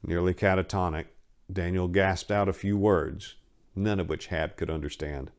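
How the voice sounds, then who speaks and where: A man narrating a dark horror story as a dramatic reading, with theatrical, intense and deliberate phrasing. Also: sits low, steady tone, smooth.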